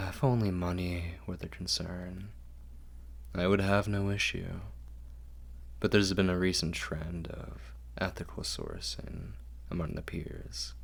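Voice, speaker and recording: A man acts out a refined vampire lord for an ASMR roleplay. He is very low-voiced and gravelly, doting yet fastidious. His formal words come slowly and softly, often sinking toward a whisper. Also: expressive, heavily acted. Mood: wry, musing. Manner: formal, measured, softly coaxing. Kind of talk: ASMR roleplay, intimate scripted monologue.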